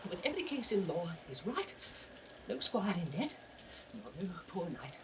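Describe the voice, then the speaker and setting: An actor playing a sly, mocking court fool, chanting riddles in a sing-song classical stage manner. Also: male voice, high voice, big pitch swings, normal speed. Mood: mocking prophecy. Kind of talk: classical Shakespearean audio drama acting. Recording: noisy vintage recording, distant from mic.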